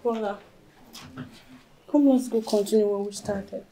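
An actress playing a defiant, brazen young mistress in a film drama. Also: female voice, mid-range voice, unhurried, heavy background noise, close to an everyday voice. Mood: defiant, coaxing. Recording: loud music behind the voice.

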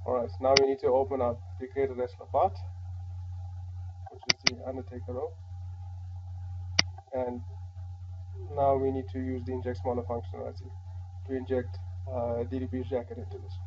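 A man with a slightly hoarse voice from a flu, calmly walking through a modding software tutorial step by step, with frequent "alright" and "uh". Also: medium pitch, moderate pace, pauses often, muffled recording, background noise. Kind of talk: screen-recorded software tutorial.

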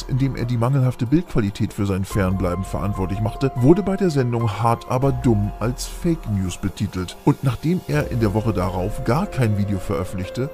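A man narrating a scripted, dramatic mock news intro. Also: medium pitch. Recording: music bed behind voice.

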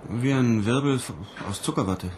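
A man's voice: mid-range voice, normal speed, a little mellow, slight background noise, close to an everyday voice. An actor playing a weary, insistent male astronaut commander in a radio play, speaking tersely. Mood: weary, wry. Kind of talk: German radio play acting.

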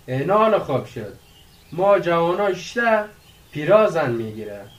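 A man's voice: a little high, sing-song, far from the mic. An actor playing a curious, impatient young village man who prods his friend conversationally in a radio drama. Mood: curious, prodding. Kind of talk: radio drama acting in dialogue.

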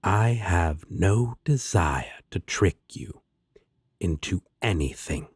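Guy doing a slow husky demon roommate, sly and sardonic, audio roleplay drawl. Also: low voice, lively intonation, no echo, studio quality, heavily acted. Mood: amused, laid-back. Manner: drawling, sardonic, coaxing endearments. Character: sly, teasing male demon roommate, laid-back and sardonic. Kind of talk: roleplay audio drama acting.

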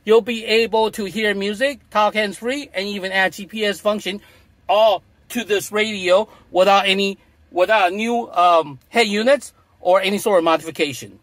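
A man's voice: high-pitched, moderate pace, a bit bright, no echo. A middle-aged man demonstrating a gadget from inside a parked car, explaining in a repetitive, salesy way. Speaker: product demonstrator. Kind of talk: product demo.

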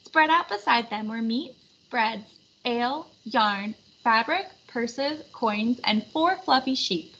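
A woman reading a children's storybook aloud in an animated narration style. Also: fairly high pitch, takes it slowly, slightly rough. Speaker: storybook reader. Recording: slightly muffled, faint background noise.

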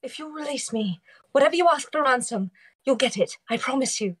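A woman reads the part of a defiant captive noblewoman in a live script reading. The voice is fairly high and very expressive, earnest and insistent. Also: fairly quick, husky, heavily acted. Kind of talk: live script table read.

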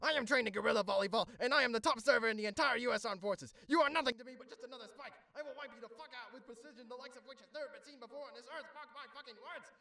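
A man's voice: very high-pitched, vocal fry, pushed and bright, dry recording, full character voice. A man voicing an absurdly boastful, menacing sports referee in a ranting comedic anime parody. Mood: furious. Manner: rapid-fire threatening rant. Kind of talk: comedic fan-dub voice acting.

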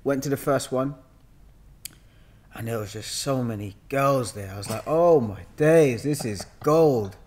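A man's voice: mid-range voice, a little slow. A man in his thirties with a London accent, telling slangy, joking anecdotes in casual conversation. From Hackney, London, England. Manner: joking, slangy, animated storyteller. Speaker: podcast guest, actor. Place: indoor studio room with sofa. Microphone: podcast mic on boom arm.

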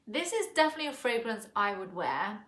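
A woman's voice: a little high, sing-song, a little fast, fairly bright tone. A woman in her forties from Thailand, reviewing a perfume in a casual, musing way, with many fillers and restarts, recorded at home on a sofa. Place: living room sofa, indoors. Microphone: camera in front at close range. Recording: echoey room.

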